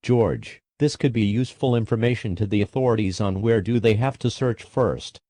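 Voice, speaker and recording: A man playing a TV news anchor who puts formal, measured questions to a reporter in a scripted breaking-news scenario. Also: on the low side, dark tone, no room sound, close to an everyday voice. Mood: serious.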